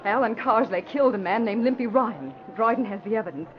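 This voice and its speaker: Earnest young radio-drama heroine, played by an actress, muffled vintage sound. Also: female voice, fairly high pitch, animated, a little hiss or hum, natural voice. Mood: urgent, breathless. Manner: halting, emotional delivery. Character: earnest young woman reporter, tearfully brave, secretly lovelorn. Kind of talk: 1940s radio drama acting.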